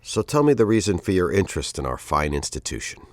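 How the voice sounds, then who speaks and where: An actor playing a stern, condescending university dean with a formal, interrogating manner in an audio drama. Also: male voice, sits low, sing-song, quick pace. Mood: cold, suspicious.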